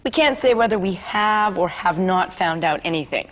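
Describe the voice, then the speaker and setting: An actress playing a serious, exasperated small-town cop, firm and businesslike, at a sitcom read-through. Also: female voice, lowish voice, expressive, normal speed, natural voice. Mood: official. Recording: reverberant room.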